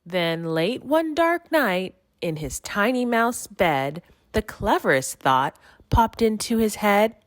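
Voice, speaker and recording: A woman giving an expressive read-aloud narration of a rhyming children's story. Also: mid-range voice, slow pace, no echo, studio quality. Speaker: storybook reader.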